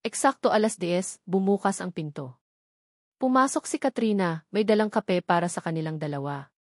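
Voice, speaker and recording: A woman's voice: fairly low pitch, animated, moderate pace, a little mellow, no echo, clean studio sound. A woman with a Filipino accent reading a dramatic scripted story as its narrator.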